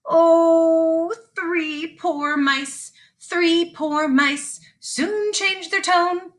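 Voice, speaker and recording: Woman, children's storyteller. High, slow, sing-song delivery with deliberate repetition. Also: fairly even intonation, faint music behind. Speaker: teacher reading aloud. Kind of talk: sing-song children's read-along narration.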